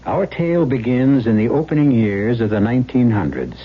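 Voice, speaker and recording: A man hosting and narrating a radio drama in a measured, dramatic, scripted 1970s style. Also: medium pitch, expressive, breathy edge. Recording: muffled old radio broadcast with music.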